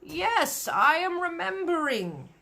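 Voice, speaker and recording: Woman voicing a slow, eager friendly storybook giant. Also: very high-pitched, sing-song, creaky, sharp tone, pitched above the performer's own voice, full character voice. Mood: excited. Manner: slow, drawn-out delivery with quaint broken grammar.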